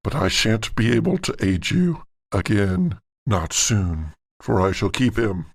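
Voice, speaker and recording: A man voicing a solemn otherworldly angel in a tabletop game, speaking in archaic, formal pronouncements with grave authority and a low, gravelly voice. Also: lively intonation, unhurried, heavily acted.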